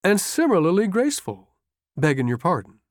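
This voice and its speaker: A man's voice: high voice, sing-song, normal speed, dry recording, clean studio sound, voice pushed up. A man voicing a lean army sergeant in an audiobook, wheedling and deferential in a folksy way. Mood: coaxing, teasing. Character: coaxing, grinning, lean army sergeant, male aide.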